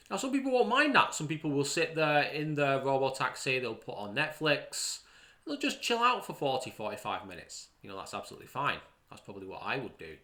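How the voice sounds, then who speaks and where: A man's voice: fairly low pitch, sharp tone. A man hosting a podcast, giving opinion commentary in an emphatic way, repeating words for stress. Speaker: podcast host.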